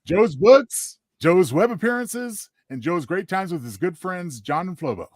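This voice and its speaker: Guy co-host just chatting, kind of thoughtful and halting, really dry audio. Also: sits high, expressive, darkish tone, no echo. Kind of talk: casual chat.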